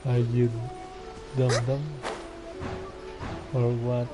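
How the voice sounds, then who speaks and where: A man's voice: mid-range voice, completely flat delivery, extremely slow, over loud music. A man giving casual, slangy, teasing commentary as he streams a video game.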